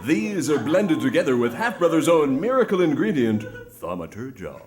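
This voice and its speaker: Man playing folksy radio pitchman, swooping delivery. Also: big pitch swings, normal speed, very noisy. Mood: grand, earnest pitch. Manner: theatrical, salesman-like. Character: folksy, earnest male old-time radio pitchman selling tonics. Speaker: old-time variety show performer reading sponsor ads. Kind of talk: parody of old-time radio sponsor ad. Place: live on a theatre stage.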